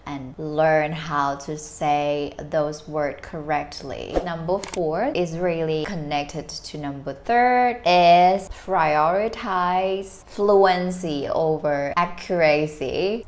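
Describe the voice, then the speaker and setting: A young woman from Thailand with a Thai accent, giving earnest, encouraging tips about learning English in a casual vlog, often saying "like". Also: on the low side. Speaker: vlogger.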